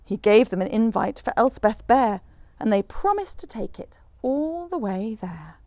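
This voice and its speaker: Woman author reading a kids rhyming story, really lively up and down pitch, bit of creak, dry close sound. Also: a little slow, dry recording. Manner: animated, rhythmic rhyming delivery. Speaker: author reading own picture book. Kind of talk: expressive children's storybook read-aloud.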